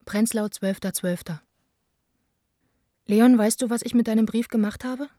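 A mellow, dark-toned female voice in a dry, close recording gives us a passionate, wounded young woman played by an actress. She reads candid letters as an intimate, plainspoken radio play monologue. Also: a little high, steady tone, a little slow, dry recording, lightly acted. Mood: hurt, defiant.

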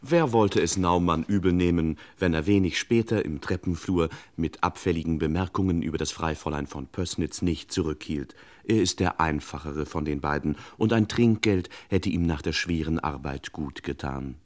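A man's voice: sits low, moderate pace, a little mellow, close to an everyday voice. A man playing the wry, formal narrator of a scripted radio crime comedy.

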